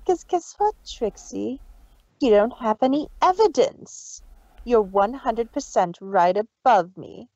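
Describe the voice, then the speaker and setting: A woman's voice: a little high, expressive, slow pace, a little mellow, dry recording, muffled recording, exaggerated voice. A woman voicing a cruel, arrogant big-sister mare villain in a dramatic story reading, taunting and condescending. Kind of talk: dramatic fan fiction reading.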